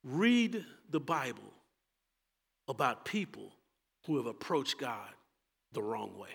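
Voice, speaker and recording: A Texan man preaching a sermon as pastor, moving between loud, fervent calls and quiet, low asides. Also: sing-song, slow pace, vocal fry, breathy edge, in a large hall.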